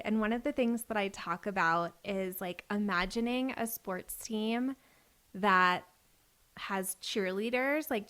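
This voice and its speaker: A woman's voice: medium pitch, fairly bright tone. A woman in her thirties hosting a podcast, talking casually with a guest and using lots of "like" fillers. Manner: chatty, frequent "like" fillers. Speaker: podcast host and business coach. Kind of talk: casual conversation.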